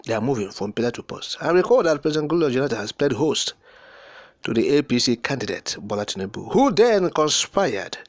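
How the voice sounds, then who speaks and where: A man's voice: medium pitch, big pitch swings, fairly quick, no room sound. A man with a Nigerian accent giving impassioned, unscripted political commentary in an emphatic, oratorical way, repeating and piling up words for emphasis.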